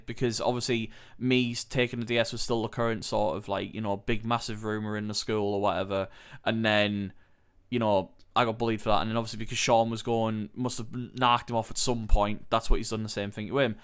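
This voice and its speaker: Young British male podcast host, nasal from a cold, smooth clear tone, sweary and rambling confessional delivery. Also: mid-range voice. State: coming down with a cold. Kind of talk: candid solo podcast monologue.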